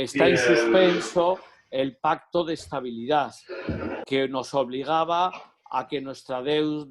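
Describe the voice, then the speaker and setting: A man moderating a webinar over a video call, speaking in a measured way with frequent fillers. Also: mid-range voice.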